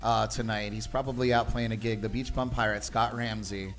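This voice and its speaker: A man's voice: lowish voice, fairly even intonation, fairly quick, soft background music. Easygoing joking male livestream host. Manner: jokey, easygoing, laughs often. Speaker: podcast host. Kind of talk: casual chat on a livestream.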